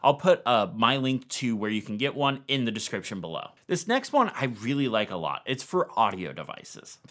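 Man with lively, varied pitch. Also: normal speed. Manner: casual, step-by-step explaining. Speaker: tech video host. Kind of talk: explanatory tutorial narration to camera.

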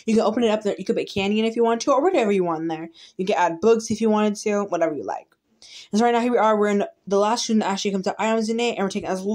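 Fast-talking chatty woman YouTuber. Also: mid-range voice, darkish tone. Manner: chatty, rambling, restarts often. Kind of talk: casual game walkthrough narration. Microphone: phone filming a tablet screen.

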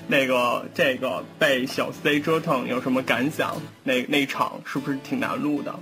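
A man's voice: medium pitch, steady tone, measured pace. A man hosting a casual online cast chat, putting questions to the others. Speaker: host asking questions. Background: guitar music.

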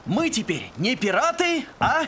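Swaggering young cartoon captain, high-pitched, wildly animated delivery, music behind. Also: male voice, unhurried, background noise, full character voice. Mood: instructing. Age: young adult. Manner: theatrical, excitable. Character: cheerful, swaggering young pirate captain, dramatic and upbeat. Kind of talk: animated film voice acting.

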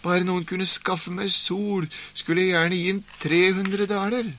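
A man's voice: high voice, steady tone, bright, forceful tone, a little hiss or hum, pitched above the performer's own voice. A man voicing a confused old woman in a folk tale who tries to carry sunlight indoors, talking in a fretful, puzzled way.

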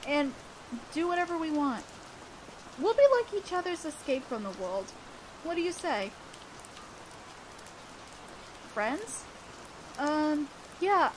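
Woman acting a high-voiced, bashful schoolgirl. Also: a little hiss or hum, poor audio. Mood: shy, hesitant. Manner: halting, bashful, breaking off mid-sentence. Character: awkward, earnest teenage girl student council president. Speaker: audio roleplay performer. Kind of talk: romantic audio roleplay acting, one-sided dialogue. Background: rain sounds.

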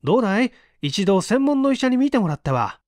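A man's voice: high-pitched, sing-song, brisk, darkish tone, dry recording, clean studio sound, pitched above the performer's own voice. A man voicing a superior male friend who lectures in an emphatic, chiding way, in a storybook reading. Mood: earnest chiding. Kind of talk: acted dialogue in storybook reading.

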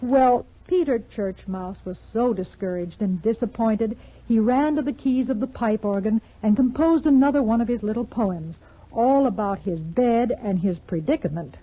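An actress playing a kindly storyteller on 1940s children's radio, gentle and expressive, with a husky voice. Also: female voice, on the high side, normal speed, lightly acted. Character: kindly woman storyteller talking to listening dolls. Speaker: storyteller host of children's show. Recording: dull vintage 1940s radio recording.

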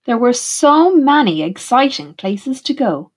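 Woman, storybook narration for children, lively swooping pitch, slightly husky voice, deliberate delivery, teacherly. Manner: animated, deliberate, puts questions to young listeners. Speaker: teacher reading a picture book aloud.

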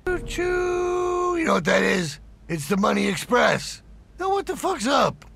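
A middle-aged crime boss in a cinematic game, his voice thick with gravel and swinging widely in pitch. He swears freely and sounds permanently fed up. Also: sing-song, a little slow, a bit bright, shouting, exaggerated voice. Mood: worked up. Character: hot-tempered, foul-mouthed cowboy-hatted male crime boss.

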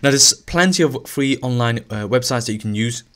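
A male teacher narrating a screen-recorded lesson, a little hoarse as he is losing his voice, talking through each step with fillers and restarts. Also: medium pitch, brisk. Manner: relaxed, thinking aloud with fillers and restarts.

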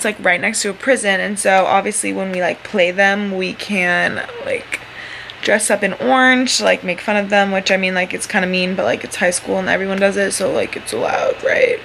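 A young woman with an American accent chatting casually and excitably to a vlog camera held at arm's length. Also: mid-range voice, normal speed, creaky, poor audio. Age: teens to young adult. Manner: bubbly, giggly, exclamatory. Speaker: vlogger, high school student. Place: car, classroom, gym, bedroom. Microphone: handheld camera at arm's length. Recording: handheld vlog audio, chatter and background noise.

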